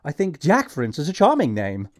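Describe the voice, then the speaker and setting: Actor as nervous English gentleman in love; very animated intonation, eager, hesitant, period stage comedy. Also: on the high side, fairly quick. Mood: anxious. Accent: English upper-class. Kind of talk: stage comedy audiobook acting.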